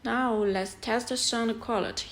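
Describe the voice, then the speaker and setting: A woman's voice: fairly high pitch, steady tone, unhurried, faint music behind. A woman presenting a product review as scripted narration, explaining things step by step in an instructional way.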